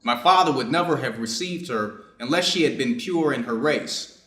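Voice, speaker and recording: A middle-aged Japanese surgeon, read by a man in a staged story. He speaks formally and deliberately, reflective and clinical yet conflicted. The room adds a clear echo around the voice. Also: mid-range voice, expressive, pushed and bright. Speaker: reader's theater performer. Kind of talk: staged reading of a short story, measured delivery. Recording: echoey room.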